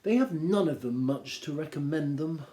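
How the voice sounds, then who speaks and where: A man voicing a wry, teasing middle-aged husband, measured and mock-polite, reading from a classic novel. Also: fairly high pitch, expressive, dark tone, close to an everyday voice. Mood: sardonic. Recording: roomy, echoing indoor sound.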